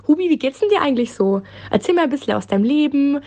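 A woman's voice: sits high, lively intonation, fast, a little mellow. A woman casually presenting questions for a podcast question-and-answer session. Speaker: question reader.

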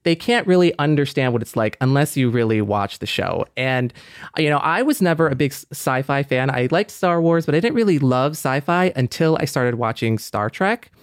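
Male podcast panelist, clean resonant tone, very dry recording, relaxed conversational delivery. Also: medium pitch, normal speed, smooth, no room sound. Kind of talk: casual conversation.

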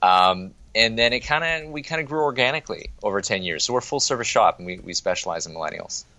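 A young man from Toronto, an agency president, talking casually and quickly in an interview, often restarting his sentences. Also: fairly low pitch, lively intonation, sharp tone, dry recording, highs cut off. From Toronto, Canada. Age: young adult. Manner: quick, chatty, many restarts. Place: remote interview, Toronto office.

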